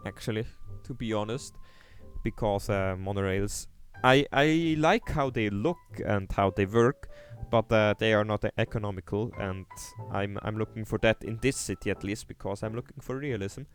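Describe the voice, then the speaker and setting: A man's voice: on the low side, normal speed, soft background music. A young man from Switzerland with a Swiss German accent, casually commenting on his city-building game during a livestream, hesitant, with lots of fillers and restarts while reading chat aloud.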